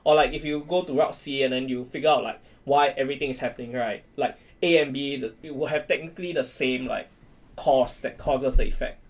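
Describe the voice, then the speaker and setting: A man's voice: mid-range voice, fairly even intonation, brisk, poor audio. Rambling man, casual podcast guest. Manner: rambling, repeats himself, says "right" as a tag. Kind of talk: casual chat.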